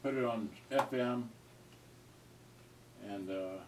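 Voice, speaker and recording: A man giving a casual, unscripted gadget review in a halting, rambling way, often trailing off mid-thought. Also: mid-range voice. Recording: distant mic with clear noise and clicks.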